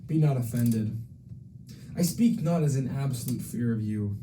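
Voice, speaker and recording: A young man playing a self-doubting exiled prince in a student Shakespeare dramatization, reciting archaic verse formally and with measured care. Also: medium pitch, expressive, dark tone, a little hiss or hum, close to an everyday voice, low-quality recording. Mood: grave, earnest.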